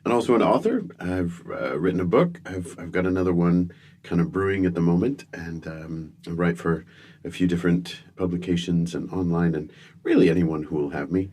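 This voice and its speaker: A man's voice: deep voice, a little fast, a little mellow. A middle-aged man, born in the UK to American parents, telling self-deprecating stories as a guest in an interview. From born in the United Kingdom to American parents. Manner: self-deprecating, wry storytelling with frequent restarts. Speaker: guest speaker and comedian. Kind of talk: relaxed storytelling interview.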